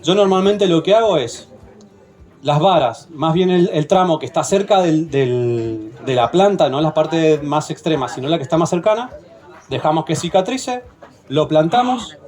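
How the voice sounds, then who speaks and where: A man's voice: a little high, animated, moderate pace, darkish tone. A man with an Argentine accent leading a hands-on plant propagation workshop, patient and often checking in with the group. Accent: Argentine Spanish. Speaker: workshop instructor.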